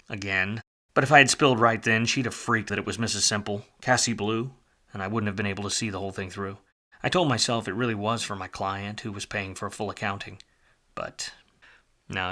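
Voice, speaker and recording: A man acting a wry, smooth-talking hard-boiled private detective in a 1940s-style noir radio play. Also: lowish voice, bright, forceful tone.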